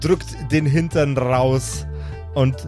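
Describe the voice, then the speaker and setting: A man narrating as game master in a dramatic improvised pen-and-paper story, with deliberate, drawn-out phrasing. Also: medium pitch, fairly even intonation, dark tone, soft background music.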